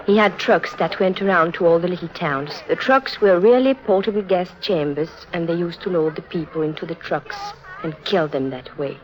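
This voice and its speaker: A woman's voice: fairly low pitch, normal speed, few pauses, lightly acted. A woman playing a lonely, earnest teenage circus orphan who confides sorrowfully in a 1950s radio drama. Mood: bitter, grave. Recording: muffled vintage 1950s radio recording.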